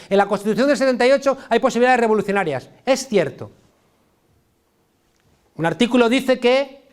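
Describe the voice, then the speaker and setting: A middle-aged man from Spain, a political science professor, giving an impassioned public lecture with a Spain Spanish accent and emphatic, colloquial repetition. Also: high voice.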